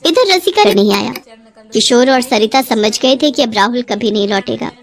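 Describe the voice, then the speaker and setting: A woman's voice: fairly high pitch, animated, moderate pace. A woman narrating a scripted family story in a dramatic storytelling style.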